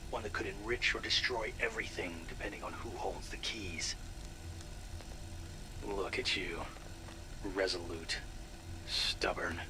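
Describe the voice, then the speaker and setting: An actor playing a cold, contemptuous interrogator in a video game drama, speaking slowly and threateningly in a breathy, half-whispered voice. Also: male voice, low-pitched. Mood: quiet menace. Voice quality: breathy, often near a whisper. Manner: slow, deliberate, threatening. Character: cold, menacing male interrogator, patient and contemptuous. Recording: clear background noise.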